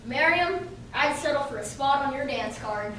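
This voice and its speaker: Confident, composed detective played by a woman, high voice, amateur radio drama, heavily echoing hall. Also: animated, normal speed. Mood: assured. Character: McCoy, calm confident male police detective. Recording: very echoey hall, distant mic, clear noise.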